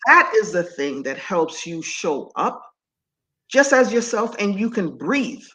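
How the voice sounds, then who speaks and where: A middle-aged woman from Antigua with a deep voice, talking as a guest in an interview in an animated, emphatic way. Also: slow pace. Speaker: guest speaker and coach. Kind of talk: conversational interview.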